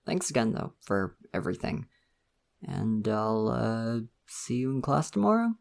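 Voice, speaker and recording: Man acting as shy college crush, creaky, lively pitch. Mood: warm, reluctant. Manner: hesitant, rambling, chuckling self-consciously. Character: shy, friendly, awkwardly flirty male college crush. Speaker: ASMR roleplay performer. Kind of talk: intimate ASMR roleplay, casual conversational acting.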